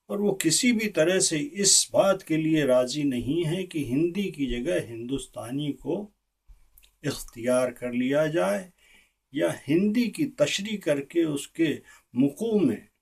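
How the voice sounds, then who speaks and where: Man acting a slow, oratorical Indian statesman. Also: fairly high pitch, gravelly, darkish tone, slightly rough, heavily acted. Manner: deliberate, oratorical, with weighty pauses. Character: earnest, disillusioned male Indian statesman addressing an assembly. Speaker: actor performing a monologue. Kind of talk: theatrical political speech monologue. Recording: distant microphone.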